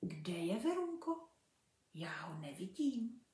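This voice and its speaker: A woman's voice: lowish voice, very animated, a little mellow. A woman voicing a small chubby pink female piglet with a golden horn, cheerful, naive and chirpy. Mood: puzzled. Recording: roomy echo.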